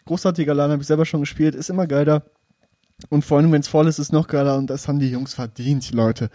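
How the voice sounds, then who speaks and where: A man's voice: a little high, fairly even intonation, quick pace, mellow, no room sound, clean studio sound. A young man in casual podcast banter, teasing and laughing. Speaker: podcast co-host. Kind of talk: casual chat.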